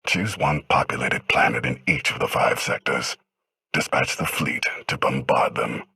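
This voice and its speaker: An actor playing a cruel, brooding emperor in a video game, with a very deep, harsh rasp and a slow, commanding manner. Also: male voice, sharp tone, full character voice. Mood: cold menace. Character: cruel, brooding tyrant emperor, cold and menacing. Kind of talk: video game cutscene acting.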